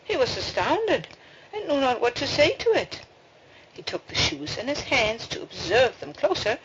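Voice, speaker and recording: A woman reading a fairy tale aloud as a storybook narrator, with expressive delivery. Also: fairly high pitch. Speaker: storybook reader. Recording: dull, noisy home recording with clicks.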